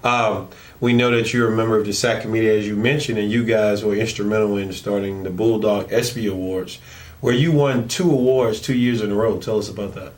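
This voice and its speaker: A man hosting an interview, asking questions in a halting way with many ums and uhs. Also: lowish voice, steady tone, darkish tone. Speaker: interviewer, show host.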